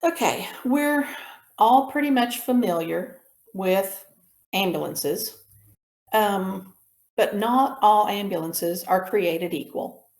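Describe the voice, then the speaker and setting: A woman instructor talks at a slow, measured pace with a medium-pitched voice. She explains things in an informal lecture style, pausing partway through sentences. The sound is noticeably roomy and echoey. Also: darkish tone. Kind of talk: informal lecture presentation with slides. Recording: roomy echo on the voice.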